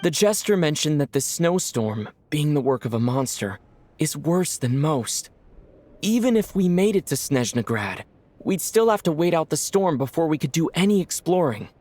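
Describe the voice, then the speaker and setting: A young male adventurer in a video game, gentle and practical. His voice sits a little high for a man and moves expressively, with a caring, concerned manner. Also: very animated, normal speed, no room sound. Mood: concerned, resolved. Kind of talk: video game cutscene acting.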